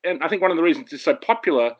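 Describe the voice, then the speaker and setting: A man talking fast and opinionated as a podcast guest, with lots of restarts and asides. The audio is dull and lo-fi, like an online call. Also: fairly high pitch, animated. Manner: rambling, opinionated, quick. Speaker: guest filmmaker. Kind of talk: podcast discussion.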